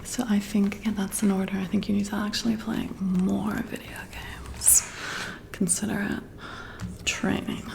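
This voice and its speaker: A woman doing soft-spoken ASMR, talking in a hushed, intimate, musing way as if chatting to one listener. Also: medium pitch, flat delivery, a little slow, creaky. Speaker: ASMR roleplay performer. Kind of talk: soft-spoken whispered ASMR, casual one-sided chat.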